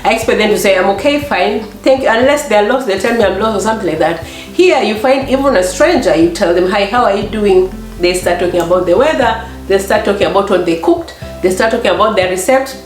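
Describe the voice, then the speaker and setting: A middle-aged woman with a Kenyan accent and a slightly hoarse voice, chatting casually as a vlog host and acting out little exchanges as she tells stories. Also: mid-range voice, lively intonation, fast, soft background music. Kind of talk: casual chat to camera. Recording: echoey room.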